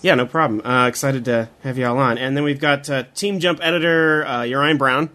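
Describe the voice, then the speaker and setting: A man's voice: medium pitch, fairly even intonation, brisk, sharp tone. A man hosting a podcast, asking loose, friendly questions full of fillers. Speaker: podcast host. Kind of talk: casual interview.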